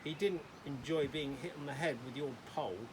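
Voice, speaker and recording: A man's voice: on the high side, normal speed, noisy background, natural voice. Man reading as a sarcastic, dry young boy. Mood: dry sarcasm. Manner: dry, sardonic.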